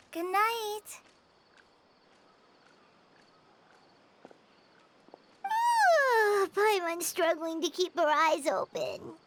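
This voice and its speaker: A woman voicing a small, excitable childlike fairy companion with a squeaky voice in a video game. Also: drawn-out words, background noise, full character voice. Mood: sleepy, contented. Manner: refers to self in third person, expressive. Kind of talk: animated video game voice acting.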